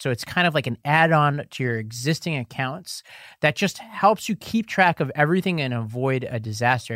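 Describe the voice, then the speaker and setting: Man, podcast co-host, mid pitch, explanatory, dry close sound. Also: a bit bright, dry recording. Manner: explanatory, walks through legal details step by step. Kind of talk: casual political podcast chat and ad read.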